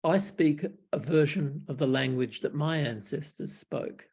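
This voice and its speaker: Older Australian man, gravelly and husky. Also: fairly high pitch, unhurried, darkish tone. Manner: reflective, wry, chuckling, frequent restarts. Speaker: Buddhist monk. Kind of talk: casual storytelling on a video call. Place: small room at home. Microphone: video call webcam.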